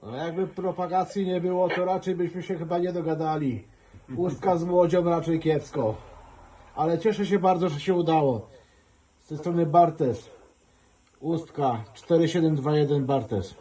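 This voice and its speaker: A man's voice: a little high, normal speed, dark tone, slight background noise, low-quality recording. A man working as an amateur radio operator, chatting in a friendly, easygoing way during a radio contact. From Poland. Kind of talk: casual ham radio contact.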